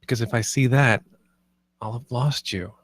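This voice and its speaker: A man's voice: mid-range voice, normal speed, a bit bright, no echo, close to an everyday voice. Man acting a hesitant, guilt-ridden young man. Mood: guilty, earnest. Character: conflicted, guilt-ridden young man confiding in his partner. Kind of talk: script table read.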